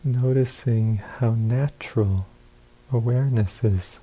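A man giving guided meditation instructions as a teacher, calm and unhurried, with long pauses between short phrases. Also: medium pitch, poor audio.

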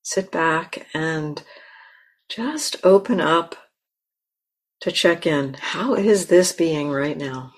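A woman meditation teacher leading a guided meditation in a gentle, unhurried manner, often pausing mid-phrase. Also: low-pitched. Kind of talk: guided meditation, slow with long pauses. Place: meditation center.

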